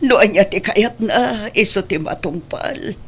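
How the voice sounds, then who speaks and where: A woman playing a worried, sorrowful middle-aged mother in a Filipino radio drama, pleading and blaming herself in a husky voice. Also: medium pitch, measured pace. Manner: pleading, lamenting.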